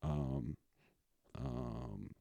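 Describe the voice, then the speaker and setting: Male podcast host, late 30s, low voice, dry sound. Informal, sweary, unscripted rambling. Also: very slow, gravelly, dark tone, dry recording. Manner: profane, slangy, informal. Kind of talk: casual rambling solo chat.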